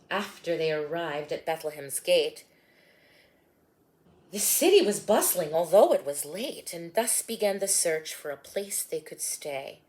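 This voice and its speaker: Lady reading a rhyming bedtime story, soft and lilting, kinda low voice. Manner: gentle, lilting, hushed at line ends. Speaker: author and storyteller.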